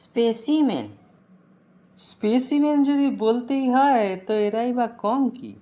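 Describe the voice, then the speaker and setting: A woman's voice: a little high, takes it slowly, background noise. A woman voicing a meek, easily bewildered village man in a cartoon, in a hesitant, wondering way. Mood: hurt, indignant. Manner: hesitant, wondering, self-muttering. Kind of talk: cartoon voice acting. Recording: music behind the voice. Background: background music.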